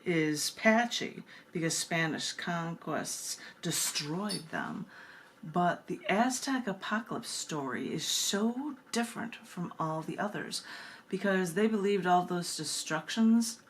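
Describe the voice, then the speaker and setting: Low-voiced woman poet, noisy recording. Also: expressive, slow pace. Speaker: poet and host of a book reading. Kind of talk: poetry recitation with casual commentary between poems. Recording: clear background noise.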